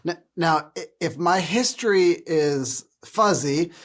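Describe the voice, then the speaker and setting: Slow-talking middle-aged male host. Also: a little high. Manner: unhurried, tentative questioning. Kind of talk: interview questions.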